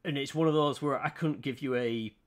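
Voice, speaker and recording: A man from the United Kingdom chatting earnestly and hesitantly on a live stream while drawing, with many pauses and restarts. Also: mid-range voice.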